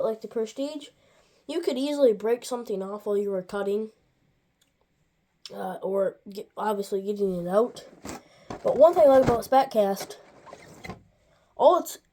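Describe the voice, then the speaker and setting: Stuffy-nosed woman with a mellow voice. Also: medium pitch. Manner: rambling, with many asides and restarts. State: stuffy nose. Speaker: farm toy channel host. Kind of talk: casual toy review.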